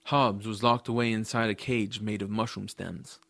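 A man's voice: fairly low pitch, level tone, moderate pace, vocal fry, a bit bright, dry recording. A man playing an earnest, kind-hearted adventurous boy who narrates his own story in an audio drama. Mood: narrating, disgusted. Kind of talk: first-person audiobook narration with dialogue.

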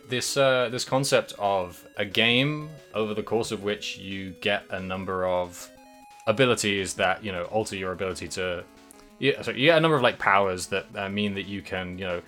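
A young man with a low-pitched but bright, forceful voice rambles through a casual podcast chat about games. He hesitates and fills his sentences with "like" and "uh" while faint music plays behind him. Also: moderate pace. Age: young adult. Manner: rambling, hesitant, many fillers. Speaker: podcast co-host. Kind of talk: casual game discussion.

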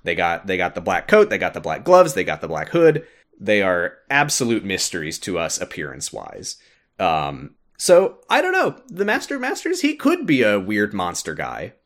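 A man with a fairly low voice and very animated intonation hosts a podcast. He recaps a video game casually and wryly, swearing as he goes. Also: moderate pace. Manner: wry, swears casually, acts out quoted lines. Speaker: podcast host.

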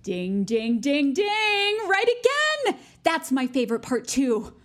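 High-pitched, pushy teenage schoolgirl. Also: very animated, slow, full character voice. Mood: smug, chatty. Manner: chirpy, pushy, self-important. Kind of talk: naturalistic audio drama acting.

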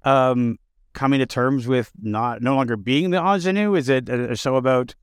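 A man's voice: medium pitch, normal speed, clean tone, no echo, studio quality. A man, speaking as a podcast host and interviewer. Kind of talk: scripted podcast narration and interview.

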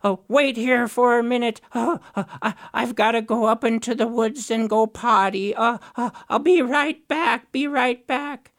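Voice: mid-range voice, steady tone, measured pace, hardly any pauses, a bit bright, voice pushed up. Storyteller doing a wheedling trickster raven. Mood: pleading. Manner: wheedling, hesitant, stammering. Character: scheming male raven trickster.

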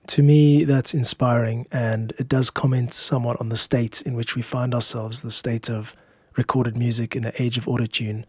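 A man hosting a podcast as a composer, narrating from a script in a measured, reflective way with deliberate phrasing. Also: medium pitch, a little mellow, husky, no echo, clean studio sound.

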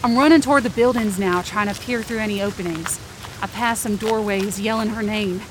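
A woman's voice: mid-range voice, brisk, noisy background. Woman narrating a tense first-person story. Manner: tense, searching inner monologue. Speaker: narrator and lead performer.

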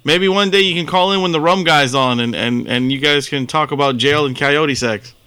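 A man's voice: on the high side, normal speed, sharp tone, a little hiss or hum. A man hosting a podcast, bantering casually with co-hosts and guests. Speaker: podcast host.

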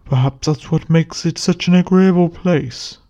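A woman voicing a grave, solemn male family lawyer in an audiobook, with a measured delivery and heavy pauses. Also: on the low side, warm tone, highs cut off. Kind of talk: audiobook character reading.

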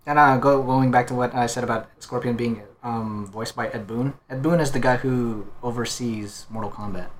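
A man's voice: medium pitch, steady tone, moderate pace, hardly any pauses, a little hiss or hum. A man talking casually and conversationally as a panelist in a group discussion. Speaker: podcast panelist. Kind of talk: casual chat.

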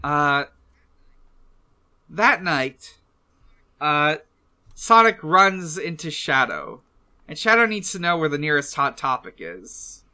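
A man co-hosting a casual recap discussion, speaking in a halting, hesitant way. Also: fairly high pitch, big pitch swings, sharp tone. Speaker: podcast co-host.

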